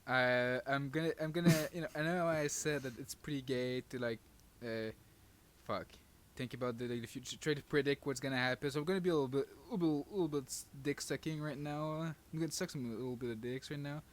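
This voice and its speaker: Male co-host, medium pitch. Casual, rambling, crude and jokey podcast chat. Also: quick pace. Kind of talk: casual banter about manga.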